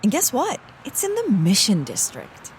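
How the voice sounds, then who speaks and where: A woman's voice: mid-range voice, wildly swooping pitch, normal speed, a little hiss or hum, poor audio. An actress playing a laid-back, food-loving female agent in a comedy audio drama, breezy and easily distracted.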